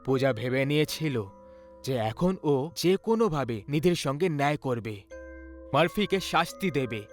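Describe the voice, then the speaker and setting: Guy reading a scary story, dramatic narration, bit high pitched, music under it. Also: lively intonation, moderate pace. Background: background music.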